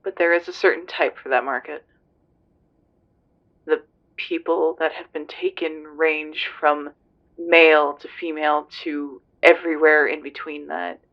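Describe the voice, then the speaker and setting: A man's voice: fairly high pitch, fairly even intonation, measured pace. A man playing a tough, serious police investigator on a phone call in a roleplay audio drama, terse and grave. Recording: dull lo-fi phone-call sound.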